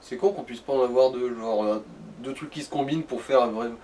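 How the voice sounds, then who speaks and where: A man's voice: medium pitch, brisk, darkish tone, distant mic. A man co-hosting a let's play, chatting casually in crude joking slang and reading game menus aloud. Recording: off-mic, noticeable room noise.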